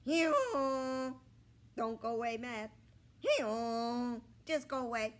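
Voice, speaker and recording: A woman voicing a mocking, smart-aleck male donkey with a loud hee-haw in a children's storybook. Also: on the high side, expressive, takes it slowly, pitched above the performer's own voice. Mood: mocking, amused. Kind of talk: children's storybook read-aloud.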